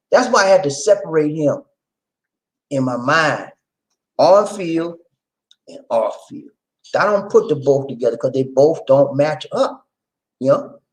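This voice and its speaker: A man from Charleston, South Carolina with a Geechee accent, hosting a casual livestream chat, lively and preachy, often laughing and talking to viewers. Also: fairly high pitch, rough recording. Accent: Gullah Geechee, South Carolina Lowcountry. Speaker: livestream host.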